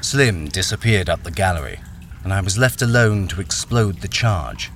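A man's voice: sits low, expressive, normal speed, fairly bright tone, a little hiss or hum. A man playing a wary, experienced miner, acting and narrating a radio crime thriller in a guarded, brooding way. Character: wary, experienced male miner, blackmailed, narrating his ordeal.

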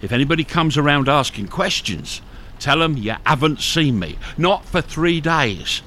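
A man's voice: fairly high pitch, expressive, normal speed, sharp tone. A man playing a hunted, hardened smuggler in a radio thriller, terse, commanding and evasive. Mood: urgent, commanding. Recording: noisy radio drama with rain sounds.

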